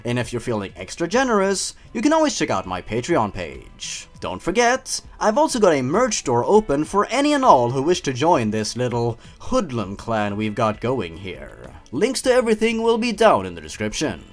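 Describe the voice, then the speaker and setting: A man's voice: medium pitch, huge pitch swings, normal speed, hardly any pauses. A man hosting a scripted review show, commenting in a theatrical, sardonic way. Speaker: host of a story-reading review channel. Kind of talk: scripted commentary with dramatic reading.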